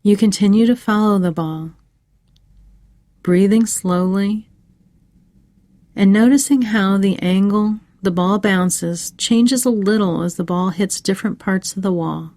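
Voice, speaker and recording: A woman narrating a scripted guided meditation for children in a measured, soothing way with pauses. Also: mid-range voice, warm tone, no echo.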